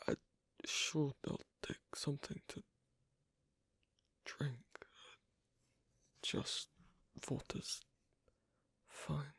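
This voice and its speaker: A performer voicing a shy, awkward, asocial young werewolf in an intimate ASMR roleplay, speaking softly and haltingly in a breathy, husky near whisper. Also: mid-range voice, steady tone, no room sound, full character voice. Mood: shy, touched. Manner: hesitant, halting, soft-spoken with long pauses.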